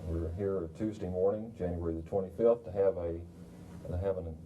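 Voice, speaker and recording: An older man moderating a roundtable in a formal, measured, somewhat halting way. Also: fairly even intonation, phone-quality sound, a little hiss or hum. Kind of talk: formal, informally unscripted introduction. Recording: rumbly 1990s video recording.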